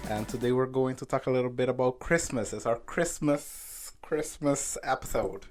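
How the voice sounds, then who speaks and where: Giggly podcast host over soft music. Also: mid-range voice, normal speed. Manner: giggly, teasing interviewer. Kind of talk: casual chat.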